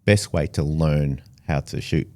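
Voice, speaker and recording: A man's voice: very deep voice, measured pace, warm tone. A middle-aged Australian man, first language Italian, talking casually as an interview guest with many fillers and restarts. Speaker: engineer guest.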